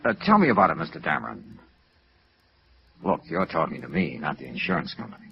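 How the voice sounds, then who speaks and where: Probing noir investigator, American, sardonic and clipped, very animated intonation, muffled vintage radio sound. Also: male voice, very deep voice, fairly quick, gravelly, full character voice. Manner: clipped, dry, questioning. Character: sardonic, probing male freelance insurance investigator. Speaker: lead actor playing investigator. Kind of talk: 1950s radio noir acting and narration. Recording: dull vintage radio recording.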